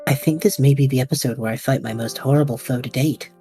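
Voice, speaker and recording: A woman's voice: extremely low pitch, fast talker, mellow, soft background music. A woman voicing a restless young male time traveler who whines and rambles dramatically, in an audio drama. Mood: bored whining.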